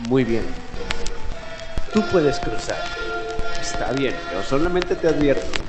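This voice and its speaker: Guy doing a spooky late night radio show, theatrical and deliberate, roomy echo, music behind him. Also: mid-range voice, animated.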